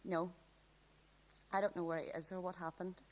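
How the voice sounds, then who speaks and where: A woman plays a lovestruck teenage girl in a radio drama. Her voice sits slightly low for a female, and she speaks openly and intimately, with natural hesitations. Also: level tone, moderate pace, natural voice. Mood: wistful narration. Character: Cassidy, lovestruck teenage girl, open and tender.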